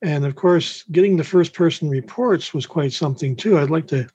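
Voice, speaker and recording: A man's voice: a little high, normal speed, dark tone, husky. A man from Canada casually sharing his thoughts as a participant in an online group meeting. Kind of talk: casual spoken reflection. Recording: dull lo-fi online call audio.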